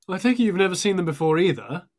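A man's voice: high-pitched, expressive, racing. A man playing a polite, theatrical amateur thespian in a comedy sketch, genteel and a little hesitant. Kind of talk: comedy audio sketch acting.

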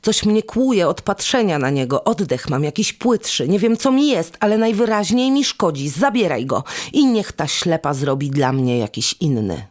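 A woman's voice: lowish voice, very animated, moderate pace, hardly any pauses, darkish tone, pitched below the performer's own voice. A woman storyteller voicing a discontented, fretful man from a folktale, complaining and insistent. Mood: troubled, demanding. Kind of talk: storybook character voice.

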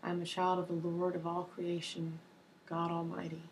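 A young woman reads in a soft, hushed ASMR manner, her voice hoarse from a cold and sitting low. Her delivery barely rises or falls. A faint hiss runs under the webcam recording of her small home office. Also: low-quality recording. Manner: soft-spoken, slow and even, with ums and uhs. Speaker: ASMR creator. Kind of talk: soft-spoken ASMR reading of a personal testimony.

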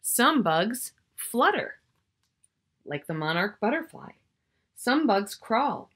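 A woman's voice: a little high, big pitch swings, takes it slowly, fairly bright tone, husky. A middle-aged woman reading a picture book aloud to young children, expressively, drawing out key words and chatting to the listener. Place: small furnished room at home, indoors.

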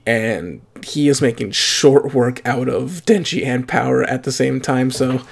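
A young man talks loosely into a desk mic in a small home room, wandering through his thoughts with frequent fillers and self-corrections. His voice sits at an ordinary middle pitch with a gravelly, fry-tinged edge. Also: measured pace. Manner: chatty, rambling with fillers and restarts. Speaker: anime reaction channel host. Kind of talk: casual reaction commentary.

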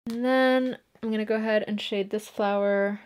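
A woman's voice: mid-range voice, fairly even intonation, moderate pace, clear, resonant tone. Chatty young woman narrating her makeup. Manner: chatty, explains each step as she paints. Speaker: makeup artist.

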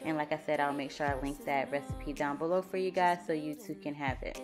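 Casting: young American woman, home cooking vlogger, lower voice, conversational, music underneath. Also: flat delivery, a little fast. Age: young adult. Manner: informal, conversational. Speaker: vlogger, host. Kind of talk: casual cooking vlog talk. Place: home kitchen, indoors.

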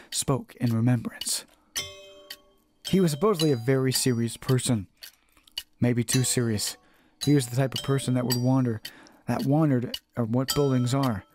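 A man reading a visual novel aloud as dramatic narration, often stumbling and rereading his lines. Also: medium pitch.

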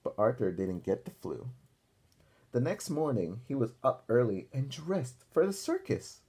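A young man reads a children's story aloud as a teacher would. His delivery is unhurried and very animated, with a soft, mellow, slightly husky tone. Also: mid-range voice. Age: young adult. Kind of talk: picture-book read-aloud with questions. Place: small room indoors.

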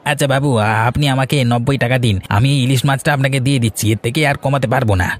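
A man acts out a persistent fishmonger in a cartoon. He rattles off very fast sales patter, pushy yet deferential. A faint market crowd murmurs behind him. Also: mid-range voice, fairly even intonation, close to an everyday voice. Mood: hawking, wheedling. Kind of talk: cartoon fairy-tale voice acting.

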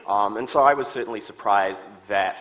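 A man in his thirties with a New Zealand accent, a security expert answering interview questions on a stage, often restarting his sentences. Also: fairly low pitch. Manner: halting, many restarts and fillers. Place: stage set with audience, indoors. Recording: muffled stage audio.

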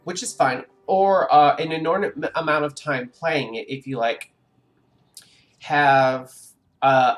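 A man in his forties, congested, chatting casually on a live stream while playing a game, rambling with many ums and restarts. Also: medium pitch. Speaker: gaming streamer. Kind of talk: casual live-stream chat over gameplay. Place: home room with a webcam.

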